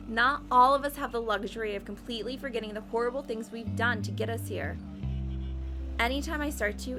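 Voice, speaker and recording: Combative female reaper, played by an actress, highish voice, sardonic and defiant, audio drama with clear music. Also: natural voice. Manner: blunt, sardonic. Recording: music behind the voice.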